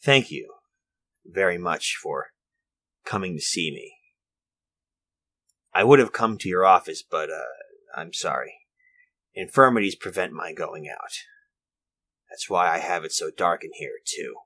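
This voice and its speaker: Genteel, hesitant old inventor, low-voiced. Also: male voice, expressive, unhurried, pauses often, pushed and bright, husky, heavily acted. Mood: polite, hesitant. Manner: hesitant, genteel, rambling, formal. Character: elderly, courteous infirm inventor of artificial limbs. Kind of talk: retro 1940s radio drama acting, monologue.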